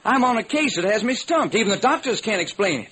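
An actor playing a baffled, folksy police lieutenant in an old-time radio detective drama, talking in earnest slang. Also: male voice, high-pitched, very animated, fast, fairly bright tone, slightly rough, exaggerated voice. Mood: urgent, puzzled. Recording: noisy vintage radio recording.

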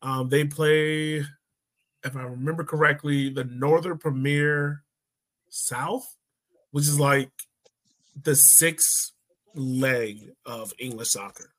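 A man hosting a casual sports podcast, talking informally in a measured, slightly tentative way. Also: fairly high pitch, fairly even intonation, fairly bright tone. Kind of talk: casual football discussion.

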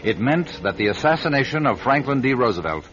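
A man narrating a radio drama in a grave, deliberate storytelling manner. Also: mid-range voice, very animated, a bit bright. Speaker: narrator and writer-director. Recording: dull lo-fi radio recording.

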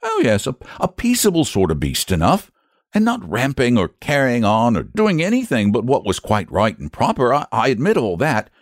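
A frightened country father from a storybook, played by a male reader. His voice is pushed high and swoops dramatically as he rambles in rustic dialect, nervous and easily indignant. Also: wildly swooping pitch, normal speed, dry recording, studio quality. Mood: uneasy, bewildered. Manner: rambling, dialect country speech with emphatic repetitions.

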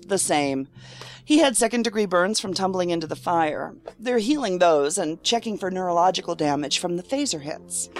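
An actress playing a weary, dry-witted federal agent in an audio drama. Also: female voice, lowish voice, expressive, moderate pace, faint music behind, lightly acted. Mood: matter-of-fact.

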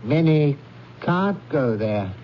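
An actor playing a courteous, solemn charity collector in a radio drama, with formal, measured speech. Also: male voice, fairly high pitch, expressive. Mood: grave, earnest. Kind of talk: 1970s radio drama acting. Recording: dull, noisy 1970s radio recording.

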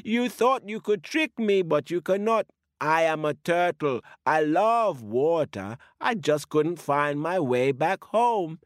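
A man voicing a small, cheeky baby turtle in a high, teasing voice in a storybook tale. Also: expressive, slow pace, no echo, clean studio sound. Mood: gloating. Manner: high-pitched, teasing, pleading.

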